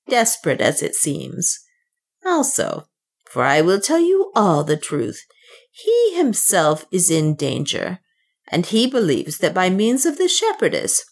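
A woman voicing a desperate, secretive servant woman in a storybook reading, speaking quietly and insistently. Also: on the low side, heavily acted.

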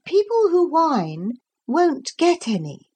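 A woman's voice: high voice, huge pitch swings, a little mellow, pitched above the performer's own voice, exaggerated voice. A woman voicing a sensible, motherly young girl who speaks firmly, in a storybook reading. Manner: firm, brisk.

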